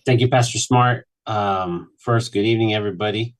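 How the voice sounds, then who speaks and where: A man in his late thirties, from Mexico, telling his personal story on a panel in an earnest, halting way, with many restarts. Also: fairly low pitch. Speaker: panel speaker. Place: virtual event.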